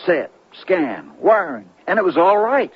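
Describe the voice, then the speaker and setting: An actor playing an earnest, excitable CB radio hobbyist who tells his story urgently, stammering, in 1970s radio drama style. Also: sits high, unhurried, husky, heavily acted. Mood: flustered. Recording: dull lo-fi radio broadcast with music.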